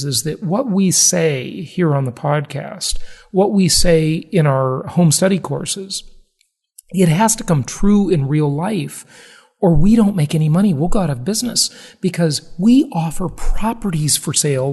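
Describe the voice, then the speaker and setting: Male podcast host, fairly high-pitched. Casual and conversational, salesy, stresses points, likes to kid around. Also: a little mellow, husky. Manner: emphatic, salesy, joking.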